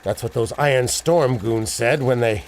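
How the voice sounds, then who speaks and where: A man's voice: medium pitch, normal speed, a little hiss or hum, natural voice. A man playing an anxious, fatherly older studio manager who frets and pleads in old-fashioned slang. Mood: uneasy. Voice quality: creaky. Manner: fretful, pleading, Yiddish-flavoured slang. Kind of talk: old-time adventure serial acting.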